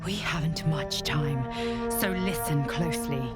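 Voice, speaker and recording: A protective dream guardian speaks softly and earnestly, slow and reassuring. Her somewhat low voice sits under loud game music. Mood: urgent. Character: gentle, protective dream guardian urging the hero. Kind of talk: video game voice acting.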